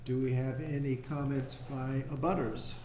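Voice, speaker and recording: A man chairing a town meeting, speaking slowly and deliberately. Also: medium pitch, little pitch movement, mellow, a little hiss or hum. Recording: echoey meeting room mic.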